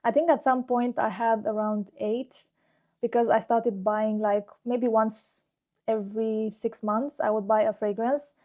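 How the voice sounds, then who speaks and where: A woman's voice: fairly high pitch, fairly even intonation, measured pace, a little mellow. A woman, talking casually and fondly about her own life as a YouTuber, with many "like" fillers. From Romania. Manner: chatty and fond, with frequent "like" fillers. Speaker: fragrance YouTuber. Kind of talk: casual personal vlog talk.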